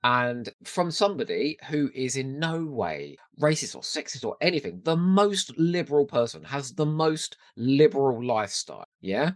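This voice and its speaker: A British man co-hosting a podcast, talking casually and giving his opinions with animated, sweary storytelling. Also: mid-range voice, normal speed, few pauses, dry recording, studio quality. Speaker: podcast co-host.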